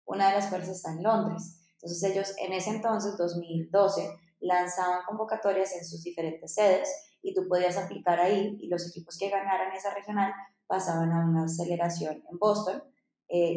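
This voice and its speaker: Woman with a low voice, echoey room. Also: fairly even intonation, normal speed, dark tone. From Colombia. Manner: chatty, with frequent "eh" and "em" fillers. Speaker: podcast guest, startup founder. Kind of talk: casual interview storytelling.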